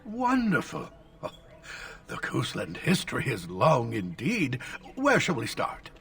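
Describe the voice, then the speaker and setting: An actor playing a stern, wry old tutor and scholar in a fantasy video game. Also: male voice, medium pitch, wildly swooping pitch, a little slow, a bit bright, slightly rough, heavily acted.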